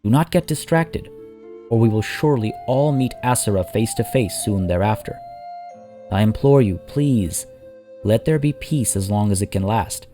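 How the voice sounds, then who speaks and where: A man voicing a warlord's gentle, earnest beloved in a fantasy story, giving counsel in a soft, formal, imploring way. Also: fairly low pitch, expressive, normal speed, faint music behind, lightly acted. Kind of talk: fantasy audio story character.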